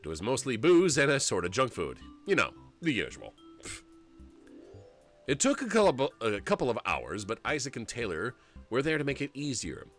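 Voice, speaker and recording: A man in his forties streams a story game, reading the narration aloud and rambling between lines. He jokes and laughs a lot, and his pitch swings widely. Faint game music sits behind him. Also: normal speed. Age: 40s. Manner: animated and jokey, laughs often, rambles.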